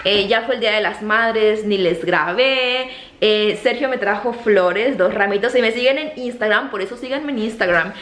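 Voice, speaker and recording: A woman in her thirties from Mexico, with a softened Mexican accent, talking casually to a close camera in her home kitchen, full of fillers and repeated words. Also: mid-range voice, moderate pace. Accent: Mexican Spanish, softened toward neutral. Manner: chatty, animated hand gestures, many fillers and repeated words. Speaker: vlogger. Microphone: camera close to face. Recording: echoey kitchen, faint room hum.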